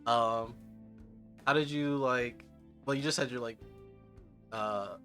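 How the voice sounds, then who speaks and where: A man's voice: mid-range voice, slow, a bit bright, soft background music. A man hosting a casual interview, chatting in a laid-back, agreeable way and laughing easily. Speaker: interviewer, host.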